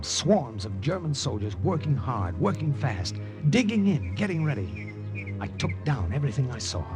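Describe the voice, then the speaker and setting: An actor playing an undercover American agent, narrating a 1950s radio drama in terse, suspenseful style. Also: male voice, mid-range voice, lightly acted. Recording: vintage 1950 radio recording, music behind.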